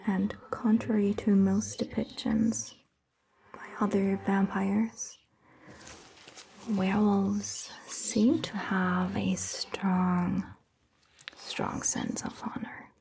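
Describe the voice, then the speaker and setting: A woman plays a gentle, attentive female vampire doctor in an ASMR roleplay. The voice is mostly a breathy whisper with a crackly, fry-laden edge. Phrases come extremely slowly and carefully, over faint background noise. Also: mid-range voice, level tone. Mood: calm, musing. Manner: gentle, slow, measured. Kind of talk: soft-spoken ASMR roleplay.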